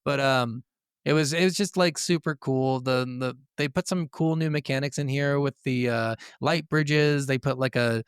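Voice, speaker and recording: Man, mid-pitched voice, crisp and clean, podcast co-host, casual informal chat, very dry recording. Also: normal speed, no room sound. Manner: conversational, informal, says "like" often. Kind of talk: casual chat, game review.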